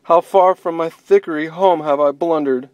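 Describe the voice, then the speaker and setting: A timid blind bunny girl, voiced by a man for a storybook, her voice pitched well up and a little louder than his own. She pleads softly and wavers, small and frightened. The sound is dry and close. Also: moderate pace, dry recording, dull audio, natural voice. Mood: worried.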